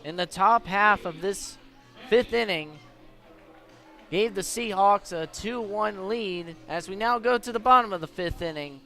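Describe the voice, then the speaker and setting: An American man giving measured, descriptive live radio play-by-play of a baseball game as the announcer. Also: high voice, fairly bright tone. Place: at a baseball stadium.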